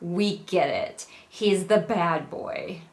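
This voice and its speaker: A woman's voice: fairly low pitch, expressive, slow pace. A middle-aged woman giving casual, exasperated read-along commentary on a book, sarcastically acting out quotes as she goes. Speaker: book review host.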